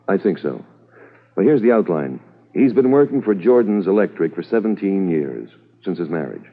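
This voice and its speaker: An actor playing a brisk, authoritative police commissioner in a 1950s radio drama, methodical and official. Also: male voice, deep voice, sing-song, heavily acted. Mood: businesslike, briefing. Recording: muffled, thin old radio recording.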